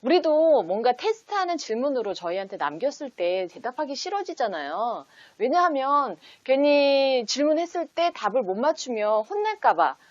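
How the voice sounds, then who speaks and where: A woman presents an instructional talk in a fairly high, very animated voice. She explains things in steps and poses sample questions as one would to a child. The sound is close and dry, with little room around it. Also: moderate pace, hardly any pauses, no echo, dull audio. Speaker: host teaching parents how to read picture books with children.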